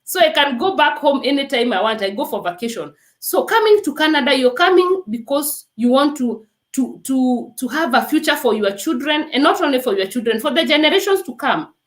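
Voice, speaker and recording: A woman's voice: sits high, sing-song, fairly quick, hardly any pauses, echoey room. A woman from Kenya with a Kenyan accent, a nurse speaking as an interview guest in an earnest, urging way. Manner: earnest and exhortative, repeats "let me tell you". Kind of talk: emphatic testimony in an interview.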